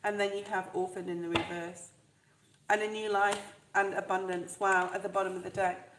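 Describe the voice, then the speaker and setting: A woman with a stuffed-up, fluey voice, chatting warmly and reassuringly to viewers during a live tarot reading. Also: mid-range voice, level tone, normal speed, echoey room, noisy background. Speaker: tarot reader.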